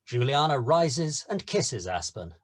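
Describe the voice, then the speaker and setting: A man's voice: mid-range voice, very animated, unhurried. A man narrating scripted scene directions at a screenplay table read.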